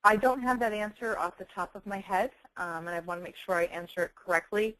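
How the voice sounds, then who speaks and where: A woman giving an explanatory software presentation in a measured, careful, professional way. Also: mid-range voice, dry recording, rough recording. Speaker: webinar presenter, software company representative.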